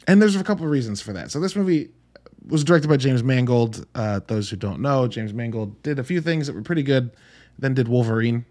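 A man's voice: medium pitch, brisk, darkish tone. Casual, blunt man reviewing films. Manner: conversational, blunt, casually profane. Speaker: podcast host reviewing films. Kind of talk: casual solo film review commentary.

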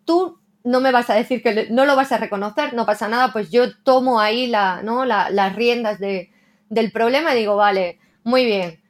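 A woman's voice: fairly high pitch, normal speed. A woman translator talking reflectively in a casual interview, often tagging her sentences with a questioning "¿no?". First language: Spanish. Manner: reflective, frequent "¿no?" tags.

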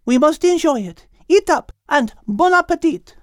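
A man's voice: extremely high pitch, animated, no room sound, pitched above the performer's own voice. Audiobook role: tactful, learned French lady cat, male reader, formal phrasing, very melodic, close dry sound. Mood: gracious. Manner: precise, formal, measured wording. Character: refined, knowledgeable, tactful female French cat. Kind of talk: audiobook character acting.

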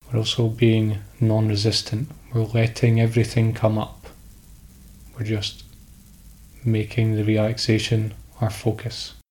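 Low, very monotone man guiding meditation. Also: low-quality recording. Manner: calm, instructional, short phrases with pauses. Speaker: meditation guide. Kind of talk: guided sleep meditation.

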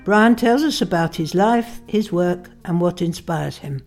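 An older woman hosting a podcast and interviewing a guest in a measured way. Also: fairly low pitch, animated, music in the background. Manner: measured, reads an introduction. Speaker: podcast host.

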